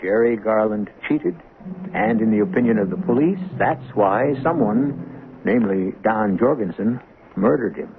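A man playing an urbane mystery host, narrating a scripted radio drama in a wry, measured, conversational way. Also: lowish voice, lively intonation, noisy background. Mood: wry, intriguing. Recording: muffled phone-like old radio recording. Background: music.

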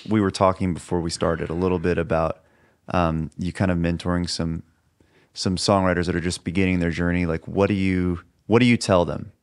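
A young man hosting a podcast in a studio, asking questions in a relaxed, encouraging way. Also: low-pitched, fairly quick, dry recording. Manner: relaxed, encouraging, short interjections. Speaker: podcast host. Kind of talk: casual interview. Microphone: headphones and boom mic.

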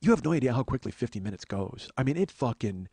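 A man's voice: mid-range voice, lively intonation, quick pace, creaky, warm tone, slightly rough, no echo. A middle-aged man hosting a comedy podcast, rambling casually and profanely, interrupting himself with digressions and getting worked up. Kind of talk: rambling comic monologue, casual chat.

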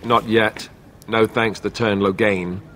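An actor playing a young warrior companion in a fantasy video game, with an expressive, direct delivery. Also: male voice, on the low side, slow pace, slight background noise. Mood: surprised, concerned.

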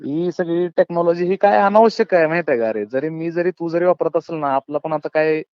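Quick, high-voiced rural Maharashtrian man. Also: clear, resonant tone, dry recording. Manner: colloquial, earnest, philosophising, often addresses listener as brother. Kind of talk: casual phone chat, opinionated monologue. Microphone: phone call, close and dry. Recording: dull lo-fi phone call recording.

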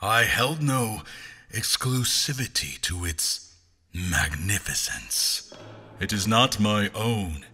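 A slow, swooping, grandiose brooder. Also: male voice, fairly low pitch, lively intonation, sharp tone, heavily acted. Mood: reverent, solemn. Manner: theatrical, halting, archaic speech. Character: brooding, grandiose man, weary and bitter, musing alone. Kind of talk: dramatic monologue in fantasy audio drama.

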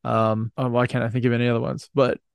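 A man's voice: medium pitch, flat delivery, quick pace, creaky, clear, resonant tone, no room sound, clean studio sound. A man hosting a podcast, chatting casually in a joking, rambling way and swearing freely. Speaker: podcast host. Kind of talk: casual, bantering podcast chat.

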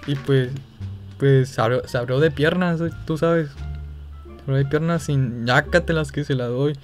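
Hesitant young Mexican man over music. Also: medium pitch. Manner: hesitant, halting, feigning embarrassment. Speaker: gaming video creator making a prank call. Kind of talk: casual phone chat. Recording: music playing behind the voice.

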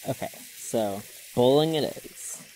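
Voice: mid-range voice, takes it slowly, dark tone, slight background noise, close to an everyday voice, poor audio. An actor playing a cheeky, friendly man in his early twenties in a casual audio drama, playful and teasing. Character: cheeky, friendly 22-year-old man, Bailey.

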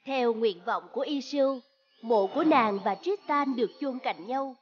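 A woman's voice: on the high side, measured pace, highs cut off. Female narrator, scripted storytelling, fairy-tale delivery, music underneath.

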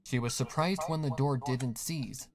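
A man's voice: mid-range voice, unhurried. A man reading a scripted horror story as a dramatic narrator. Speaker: narrator of a horror fan fiction. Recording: music behind the narration. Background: music.

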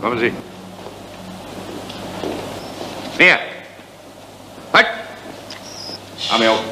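An actor playing an agitated, menacing man in a hostage standoff, forceful and excitable, in a crime radio play. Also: mid-range voice, takes it slowly, distant mic, exaggerated voice. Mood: barking orders. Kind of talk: 1970s German crime radio play acting. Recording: roomy echo, faint noise.

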